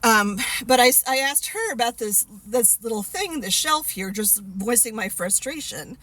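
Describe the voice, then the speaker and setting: A woman in her fifties talks to her camera in a loose, chatty way, often stopping to restart a thought. Her voice sits a little high for a woman. She is frank and laughs at herself now and then. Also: lively intonation, normal speed, sharp tone, dry recording. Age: 50s. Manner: rambling, chatty, many fillers and restarts. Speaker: vlogger. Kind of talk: casual chat to camera.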